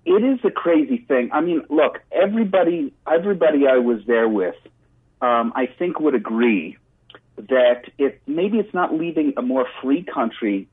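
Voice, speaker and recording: Halting man on a husky, muffled line. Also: medium pitch, lively intonation. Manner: halting, repeats words as he thinks. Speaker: interview guest, political commentator. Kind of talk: casual spontaneous interview chat. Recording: muffled, band-limited remote line.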